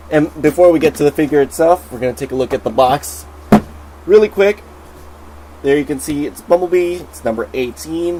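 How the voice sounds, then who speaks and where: Man, nasal, under the weather, chatty toy reviewer, mid-pitched voice, faint hum behind. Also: poor audio. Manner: chatty, excitable. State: sick, nasal.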